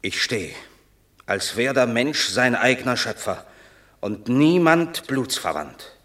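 An actor playing a proud, banished Roman warrior and son in a verse radio drama, declaiming solemnly, stern yet torn. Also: male voice, medium pitch, lively intonation, slow pace, fairly bright tone. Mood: proud defiance.

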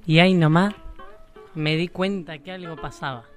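A woman's voice: on the low side, fairly even intonation, moderate pace, close to an everyday voice. An actress playing a sly Argentine country woman who narrates and snoops, with a folksy, knowing way of talking. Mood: narrating. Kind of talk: live radio drama acting. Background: guitar music.

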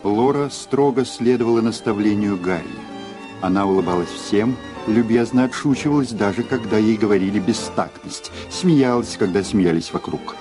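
An actor playing a grave narrator, reading scripted dramatic narration for a crime audio drama. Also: male voice, fairly low pitch, normal speed, over music, natural voice. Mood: detached.

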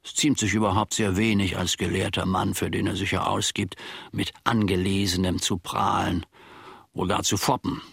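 An actor playing a pedantic, simple-minded manservant in a radio play, speaking in a ponderous, mock-learned, rambling way. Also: male voice, deep voice, flat delivery, moderate pace, creaky, a bit bright, husky, no echo, clean studio sound, heavily acted. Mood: pompous, critical. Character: pedantic, simple-minded male servant of a philosopher. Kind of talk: German radio play acting.

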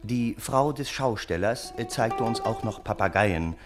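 Radio play narrator: wry family friend, slightly deep, highly animated delivery, some music underneath. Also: male voice, unhurried. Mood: amused, reminiscing. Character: wry male storyteller, a family friend recalling events.

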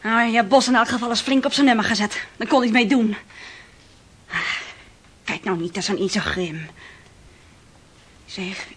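An actress in a Dutch radio play, playing a caring young sweetheart with a tender, soothing manner. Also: female voice, a little high, normal speed, long pauses, a bit bright, breathy edge. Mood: tender, coaxing.

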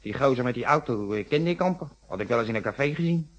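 An actor playing a cagey, grumbling, brusque working-class husband in a radio detective play, in colloquial, defensive Netherlands Dutch. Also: male voice, mid-range voice, lively intonation, fast talker, lo-fi sound, lightly acted.

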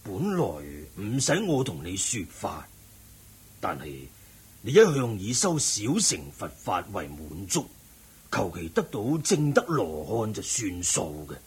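A genial, learned lay sage of a Buddhist radio drama. His voice is low and very husky, and he preaches in slow, expressive phrases. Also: exaggerated voice. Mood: earnest, instructive. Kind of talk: Cantonese radio drama acting.

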